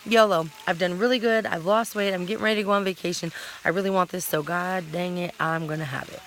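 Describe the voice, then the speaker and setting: A talkative woman in her thirties rambles through a casual vlog with a bright, forceful voice. She recorded it outdoors at a campsite, and a faint hiss of outdoor sound sits behind her. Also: lowish voice, steady tone, fast. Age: 30s. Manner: rambling, talkative, self-correcting. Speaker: vlogger.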